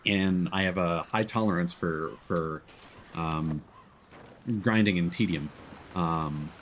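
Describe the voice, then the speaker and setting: A man's voice: low voice, slow, fairly bright tone, a little hiss or hum. A man giving casual live gameplay commentary as a streamer, rambling and restarting his sentences mid-thought.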